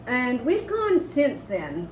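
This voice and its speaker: A middle-aged woman with a fairly high voice talks casually to viewers as a homestead vlogger. The room is noticeably echoey, and a faint noise sits behind her conversational delivery. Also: takes it slowly. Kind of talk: casual chat. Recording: roomy echo with steady background noise.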